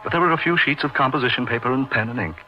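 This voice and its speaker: An actor playing an earnest, awestruck young doctor telling a dark tale in a theatrical, emphatic old-time radio style. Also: male voice, mid-range voice, rapid-fire, faint music behind, lightly acted. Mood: awed, ominous. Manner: theatrical, florid, emphatic delivery. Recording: muffled radio drama recording.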